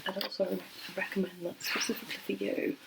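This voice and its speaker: Soft-spoken, creaky, whispery ASMR woman. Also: medium pitch, flat delivery, heavy background noise. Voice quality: breathy, often dropping into a whisper. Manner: gentle, unhurried, hesitant pauses. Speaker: ASMR performer role-playing a doctor. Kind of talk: soft-spoken ASMR medical role play. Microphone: close microphone.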